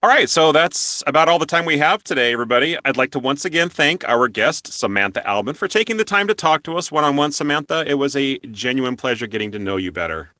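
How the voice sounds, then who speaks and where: Animated, bright-voiced man hosting. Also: mid-range voice, fast, few pauses, no echo, clean studio sound. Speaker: interview show host. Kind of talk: casual hosted interview.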